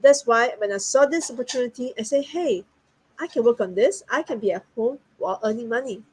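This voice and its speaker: Lady, kinda high voice, friendly earnest chat. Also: animated, fairly quick, husky, low-quality recording. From Singapore. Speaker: guest business partner. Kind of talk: casual online talk.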